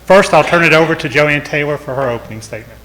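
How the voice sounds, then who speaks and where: Texan man, forum moderator, bright voice, relaxed folksy delivery. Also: a little high, fast talker, slight background noise, poor audio. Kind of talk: moderating a public debate.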